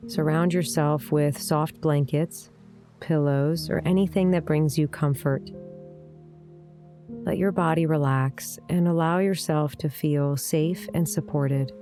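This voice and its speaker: A woman leading a guided meditation in a gentle, soothing, unhurried way, with deliberate pauses. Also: low-pitched, fairly even intonation. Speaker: meditation guide, yoga teacher. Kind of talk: guided meditation, scripted narration. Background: soft instrumental music.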